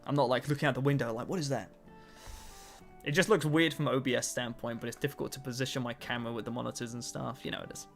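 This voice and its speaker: A young man in his twenties talks casually to his livestream chat. His voice sits at a middling pitch, and he jokes at his own expense. Faint music and keyboard typing run underneath. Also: steady tone, fast, vocal fry. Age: 20s. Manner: chatty, self-mocking, answers chat between tasks. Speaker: streamer.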